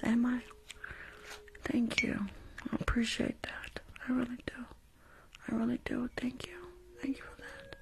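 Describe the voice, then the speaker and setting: A woman's voice: on the high side, creaky. A woman voicing a rude, impatient, gum-chewing New York school nurse in an ASMR roleplay, repeating herself in a brusque, mock-soothing way close to the microphone. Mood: sly, weary. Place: small bedroom. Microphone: close furry-covered condenser microphone.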